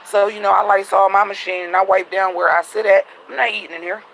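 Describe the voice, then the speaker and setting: Man, high-pitched, gravelly, a little raspy, profane, blunt casual vlog rant. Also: steady tone, fast, a bit bright, slight background noise, low-quality recording. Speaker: vlogger. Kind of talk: casual venting monologue. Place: at home at night, in the dark.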